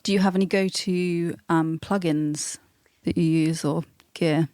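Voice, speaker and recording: A woman's voice: on the low side, fairly even intonation, a little slow, dark tone, no room sound, clean studio sound. A woman hosting a podcast, asking questions in a casual, conversational way. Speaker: podcast host, interviewer.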